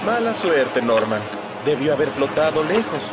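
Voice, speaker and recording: A man's voice: fairly high pitch, big pitch swings, moderate pace, heavy background noise. A man playing a good-natured, sympathetic fisherman in a Latin American Spanish cartoon dub. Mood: rueful. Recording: loud music behind the voice.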